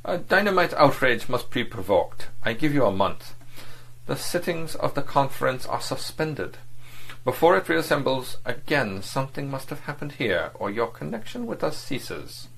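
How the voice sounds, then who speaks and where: A man reads this part, raising his voice into a polished, scornful diplomat who pitches his lines high and lets them leap and fall. His tone is cool and menacing, with a gravelly edge under the mockery. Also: sing-song, normal speed, fairly bright tone, full character voice, poor audio. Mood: curt. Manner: mocking, sneering, overbearing. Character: suave, cynical, menacing male foreign diplomat.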